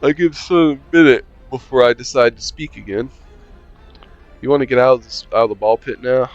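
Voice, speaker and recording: A man's voice: medium pitch, fairly quick, muffled recording, faint music behind. A young man reading a visual novel aloud, playing the player character with casual, joking asides. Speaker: gaming channel host.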